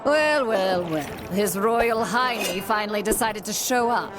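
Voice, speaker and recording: A woman's voice: medium pitch, expressive, measured pace, over loud music, close to an everyday voice. A woman voicing a power-hungry sea villain queen in a cartoon video game, theatrical, mocking and imperious. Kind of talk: animated video game acting.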